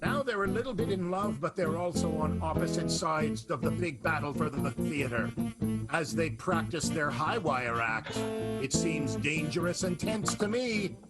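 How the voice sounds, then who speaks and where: A man's voice: on the high side, expressive, normal speed, over loud music. A man narrating an improvised radio soap, setting up the scene in a playful storytelling way.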